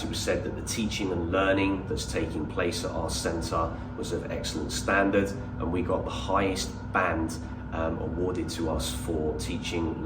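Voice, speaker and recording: A man from England with an English accent gives an explanatory presentation about a school programme as its representative. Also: low-pitched. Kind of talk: prepared presentation to an audience. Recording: echoey room, distant mic, noticeable noise.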